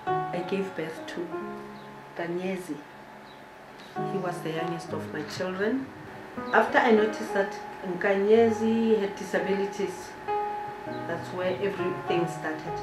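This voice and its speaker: A middle-aged woman with a South African accent, telling her personal story in a deliberate, pausing manner in an interview. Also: medium pitch. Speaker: founder and head of a children's care centre. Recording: roomy echo with guitar music.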